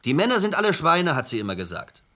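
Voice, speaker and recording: A blunt, defensive bereaved brother. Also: male voice, fairly high pitch, huge pitch swings, fast, fairly bright tone. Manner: curt, insistent. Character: blunt, defensive man grieving his sister. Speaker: brother of the dead woman. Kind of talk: radio drama acting.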